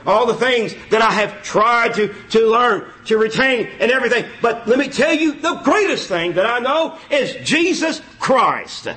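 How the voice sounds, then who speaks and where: A man preaching an informal sermon in a church, folksy and self-mocking, repeating his phrases and exclaiming loudly. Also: very high voice, lively intonation, normal speed, few pauses.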